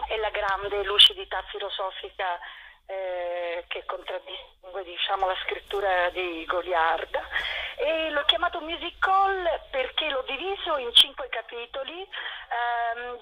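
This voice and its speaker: A woman giving a conversational interview as a theatre guest, phrasing her thoughts in a halting, reflective way over a dull link. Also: fairly high pitch. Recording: dull radio or phone link.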